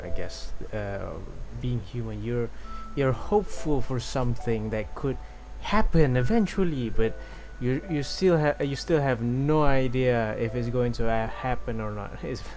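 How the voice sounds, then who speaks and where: A man streaming a game, chatting casually and reading dialogue aloud in a hesitant, halting way. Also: mid-range voice, darkish tone, slight background noise. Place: live game stream, game music behind.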